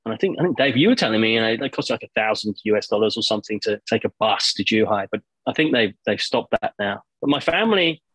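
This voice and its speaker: A man joins a podcast as a guest over a video call, chatting loosely with plenty of fillers. His voice sits at a middle pitch, recorded dry and close. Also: a bit bright, slightly rough, no room sound, low-quality recording. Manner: chatty, many fillers like "you know". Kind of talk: casual chat.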